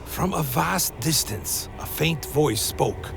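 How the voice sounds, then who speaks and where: A man playing a grand inquisitor in a dreamy, defiant blood trance, narrating a dark fantasy radio play in slow, deliberate, literary prose. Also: fairly high pitch, lively intonation, creaky, heavily acted. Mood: entranced. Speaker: narrator and lead character. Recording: dramatic music behind. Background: loud music bed.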